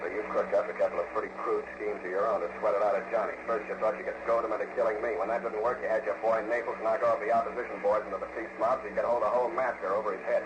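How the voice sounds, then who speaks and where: An American man playing a sharp, sardonic, slangy private detective in a 1940s radio noir drama, on a noisy vintage recording. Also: lowish voice, level tone, racing, few pauses, muffled recording, lightly acted. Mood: hard, needling. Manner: slangy, sardonic, street-smart. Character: sharp-witted, wisecracking, hard-boiled male private detective.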